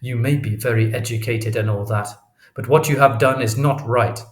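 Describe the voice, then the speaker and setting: A man's voice: mid-range voice, normal speed, roomy sound, natural voice. A man voicing a small, humble working father in an audiobook, speaking with heavily stressed, morally indignant words. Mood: righteous indignation. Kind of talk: audiobook character voice.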